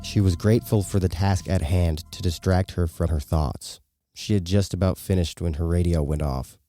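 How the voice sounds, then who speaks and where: Male narrator, low-pitched, scripted horror storytelling over clearly audible music. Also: normal speed.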